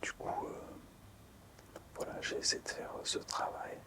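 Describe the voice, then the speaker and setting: A man speaks in a constant whisper, in a soft ASMR style. His delivery is unhurried and hesitant, with pauses between short phrases, like a creator quietly presenting things to his viewers. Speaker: video creator showing collectible cards.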